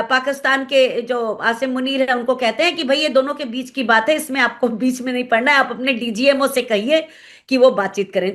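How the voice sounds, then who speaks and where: A woman with an Indian accent giving analytical commentary in an interview, explaining things and pausing to search for words. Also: sits high, fairly bright tone. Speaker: guest commentator, former pilot.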